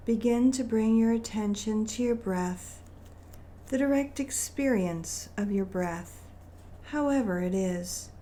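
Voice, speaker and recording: A woman leading a guided meditation in a gentle, soothing manner, with deliberate phrasing and long pauses. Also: medium pitch, slight background noise.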